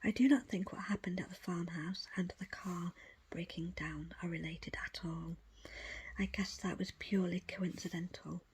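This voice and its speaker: A woman reading a book aloud as ASMR in a breathy, unvoiced whisper, with hushed, deliberate phrasing in short pieces. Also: fairly low pitch, fairly even intonation. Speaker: audiobook reader.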